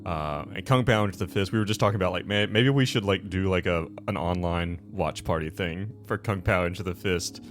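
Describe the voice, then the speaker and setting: A man's voice: low voice, a little fast, hardly any pauses, faint music behind. A man hosting a tabletop game show as game master, chatting and recapping casually in a rambling way, often correcting himself.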